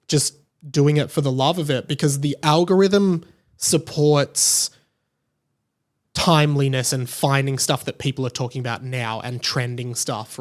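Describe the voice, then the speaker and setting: A man hosting a podcast solo, giving casual commentary in a conversational way, thinking aloud and repeating words for emphasis. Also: on the high side. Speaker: podcast host.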